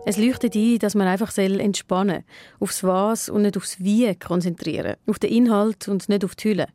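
A woman, a reporter giving calm, reflective narration and asking questions. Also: medium pitch, moderate pace, faint music behind. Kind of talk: scripted narration and interviewing.

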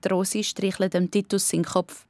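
A woman's voice: medium pitch, level tone, brisk, a little mellow, dry recording. A woman with a Swiss accent narrating a scripted children's story as part of a radio play.

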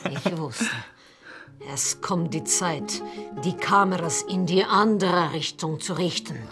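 Scheming cleaner-mom, middle-aged woman, lowish voice, conspiratorial. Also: slow, over music. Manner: conspiratorial, theatrical. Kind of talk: radio play acting.

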